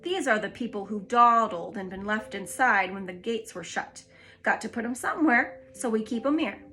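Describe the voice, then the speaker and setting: A woman's voice: on the high side, lively intonation, normal speed, bright, forceful tone, soft background music. A woman voicing a proud, pompous male lion who calls himself king of the beasts, grand and gracious. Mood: matter-of-fact. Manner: grand, gracious, self-important.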